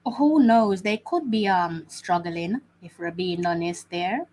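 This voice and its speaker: A woman's voice: on the low side, takes it slowly, a little mellow, a little hiss or hum. Chatty woman hosting a live watch-along. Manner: chatty, repeats phrases, many fillers. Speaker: host.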